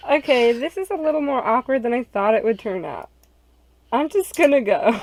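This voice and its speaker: A woman's voice: a little high, expressive, moderate pace, crowd noise, poor audio. A woman casually reading a visual novel heroine's lines aloud and chatting with fellow players, dry and a little awkward. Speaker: co-player voicing the heroine in a playthrough. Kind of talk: casual read-aloud with group chat.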